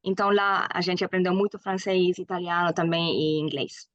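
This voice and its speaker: A woman's voice: on the low side, fairly even intonation, moderate pace, a bit bright, dry recording, muffled recording. German woman speaking Portuguese, hesitant. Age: 20s. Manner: hesitant with frequent fillers, laughs often. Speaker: guest. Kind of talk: casual interview.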